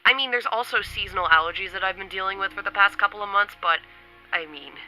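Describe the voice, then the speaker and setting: A woman's voice: mid-range voice, fast, pushed and bright, faint music behind, poor audio. A woman vlogging casually at home, chatty and upbeat, a little stuffy from a cold. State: stuffy from a cold, occasional coughing. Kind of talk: casual chat to camera. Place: home in New York City.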